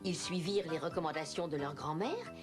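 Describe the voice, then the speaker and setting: A woman narrating a scripted fairy tale for children in an expressive storytelling manner. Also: low voice, brisk. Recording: music behind the voice.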